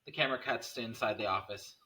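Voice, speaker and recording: A man's voice: on the low side, quick pace, sharp tone, rough recording. A man reading the stage directions of a horror screenplay as scripted narration.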